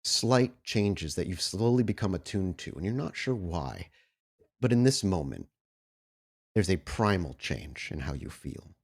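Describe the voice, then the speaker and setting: A man with a fairly low voice runs a tabletop horror game as its storyteller. He explains and describes things in deliberate, dramatic phrases, speaking as the game master to his players. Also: animated, a little mellow, slightly rough.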